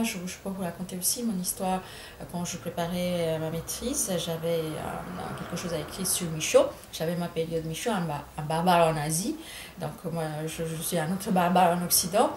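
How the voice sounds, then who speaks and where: A woman's voice: lowish voice, a little mellow. Indian-accented woman, middle-aged writer, hesitant and reflective, echoey room with a bit of background hum. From Bengal, India. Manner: thoughtful, many hesitations and self-corrections. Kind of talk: reflective interview answers.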